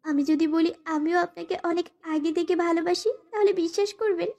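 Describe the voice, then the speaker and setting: A woman's voice: very high voice, steady tone, normal speed, dark tone. A woman voicing a timid, sorrowful young wife who narrates a dramatized romantic story and pleads submissively. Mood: hesitant, confessing. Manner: formal, submissive address to her husband.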